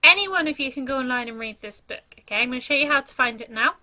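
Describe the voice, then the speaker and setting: A woman's voice: sits high, animated, fast, sharp tone. A woman reading a children's story aloud in a dramatic, theatrical storytelling manner.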